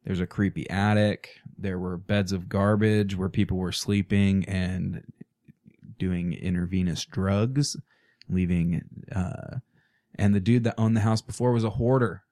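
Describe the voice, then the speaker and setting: A man's voice: low-pitched, fairly even intonation, a little slow, warm tone, no room sound. A man speaking, a podcast guest in casual, crude, joking chat in a small studio.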